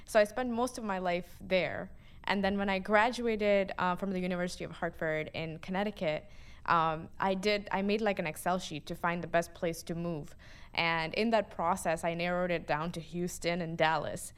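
Young female guest from Pakistan, smooth low voice, relaxed and chatty. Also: normal speed. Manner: casual, uses "like" often. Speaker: guest, neuroscience student. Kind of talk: conversational interview.

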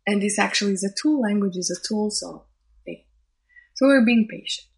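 A woman's voice: mid-range voice, moderate pace, creaky. A woman whose first language is Portuguese, a social scientist and event organizer, speaking thoughtfully in an interview and often restarting phrases as she searches for words. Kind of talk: interview conversation.

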